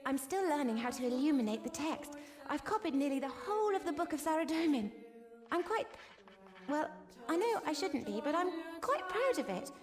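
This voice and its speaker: An actress voicing an eager, naive young novice nun in a video game, speaking in a hesitant, earnest, apologetic way. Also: female voice, high-pitched, sing-song, moderate pace, over music. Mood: eager, shy. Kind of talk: voiced video game dialogue.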